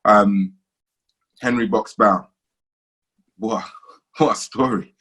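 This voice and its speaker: A man's voice: fairly low pitch, slow, fairly bright tone. A man interviewing a guest, asking probing questions in a conversational way.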